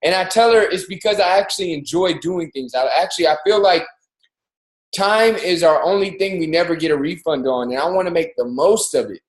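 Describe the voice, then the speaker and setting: Man in his thirties, high voice, motivational talk, roomy echo over a video call. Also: brisk. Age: 30s. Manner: energetic and preachy, rising emphasis on key points. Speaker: co-host of a motivational show. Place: home in Miami, livestreamed call.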